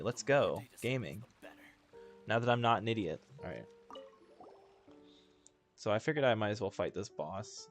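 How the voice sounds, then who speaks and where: A man livestreaming a video game in casual, slangy, joking and self-deprecating chat. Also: on the low side. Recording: game music behind the voice. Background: video game music.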